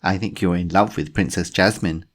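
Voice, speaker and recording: A man's voice: low-pitched, fairly even intonation, normal speed, lightly acted. A man voicing a cheeky, eager little girl. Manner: eager, teasing.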